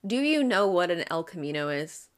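Podcast co-host, female, lower voice, relaxed and chatty while telling a true crime case. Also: moderate pace, smooth. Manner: chatty, laughs often. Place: Ohio.

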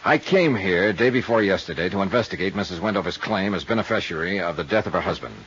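Wry hard-boiled detective, lively low voice. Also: male voice, fast, a little hiss or hum. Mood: brisk, insistent. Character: hard-boiled male private insurance investigator, wry and unflappable. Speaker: lead actor and narrator of radio drama. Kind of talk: 1950s radio detective drama acting. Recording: muffled 1950s radio drama recording.

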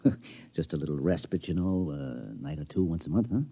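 An actor playing a skeptical, henpecked husband in an old-time radio drama, hesitant, incredulous and a little wheedling. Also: male voice, rumbling low, a little fast, vocal fry, dark tone, husky, full character voice. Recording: dull old radio recording.

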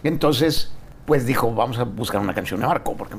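Relaxed Mexican man hosting. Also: mid-range voice, brisk, a little hiss or hum. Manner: relaxed, rambling, many fillers. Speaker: interview host. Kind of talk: casual conversational interview.

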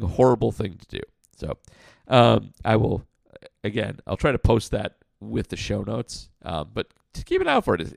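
A man hosting a casual podcast, answering listener questions in a blunt, frank way with lots of fillers; his voice sounds rough like sandpaper. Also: medium pitch, no room sound. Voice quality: gravelly, like sandpaper. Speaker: podcast host.

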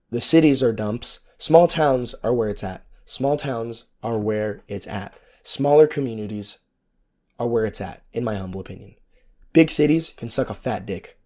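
A hoarse man who is losing his voice, hosting a casual solo podcast with a sarcastic, rambling manner and free swearing. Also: mid-range voice, mellow. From United States. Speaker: podcast host.

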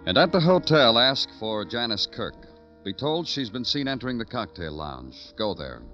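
An actor playing a terse, world-weary police detective in an old radio crime drama, with clipped, dry delivery. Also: male voice, medium pitch, big pitch swings, moderate pace, sharp tone. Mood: brooding narration. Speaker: lead actor and narrator. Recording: dull old radio recording with music.

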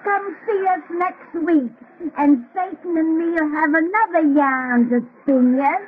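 Shrill, cackling old witch. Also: slow pace. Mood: gleeful, cackling. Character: cackling old witch storyteller with Satan as companion. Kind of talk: 1930s radio horror acting. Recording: narrow, faintly noisy old radio recording.

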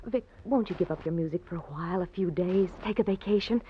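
A woman playing a devoted, anxious young wife in an old-time radio drama, with halting, emotional delivery. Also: fairly low pitch, slightly rough. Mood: worried, hesitant. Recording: dull, noisy old radio recording.

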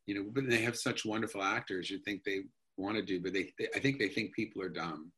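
Bright-voiced man, low-pitched and rambling. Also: fairly even intonation, quick pace, breathy edge. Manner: rambling, enthusiastic, many restarts and fillers. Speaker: actor guest. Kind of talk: casual interview talk.

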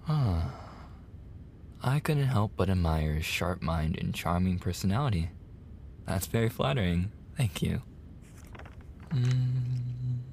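A man plays a male college tutor in an intimate ASMR roleplay, easygoing and teasing. His soft voice sits low with a heavy creak, and he takes his time with casual, flirty pauses. There is faint noise behind him. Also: expressive, heavily acted. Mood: teasing, curious. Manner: casual, hesitant pauses, soft-spoken, drops into whispers. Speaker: audio roleplay performer.

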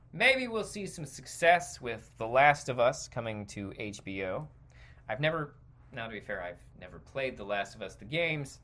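A man's voice: medium pitch, fairly bright tone. A man hosting a podcast, delivering a casual, opinionated rant in a rambling, digressive and emphatic way. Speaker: podcast host.

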